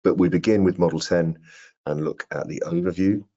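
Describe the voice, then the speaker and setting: Very deep, husky older man. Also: fairly even intonation, a little fast, mellow. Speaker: product trainer. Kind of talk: webinar product presentation. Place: indoor room on a video call. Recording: dull, muffled video-call audio.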